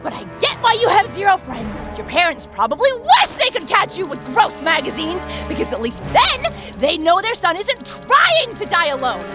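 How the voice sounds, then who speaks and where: A woman's voice: extremely high pitch, wildly swooping pitch, normal speed, few pauses, exaggerated voice. A woman voicing a brash, sarcastic, hot-tempered teenage schoolgirl in an exaggerated anime parody. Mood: angry, mocking. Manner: snarky, mocking. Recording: loud music behind, some reverb.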